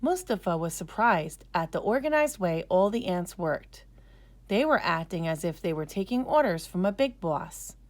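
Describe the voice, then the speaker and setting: A woman storyteller narrating a scripted children's story in a careful, expressive way. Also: fairly low pitch, normal speed.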